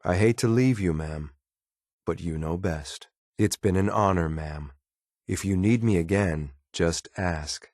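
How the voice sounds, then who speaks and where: An actor playing a loyal, disapproving android soldier in a video game, speaking in a formal, clipped, deadpan and blunt way. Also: male voice, deep voice, unhurried, no room sound, clean studio sound. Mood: dutiful. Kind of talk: video game companion voice lines.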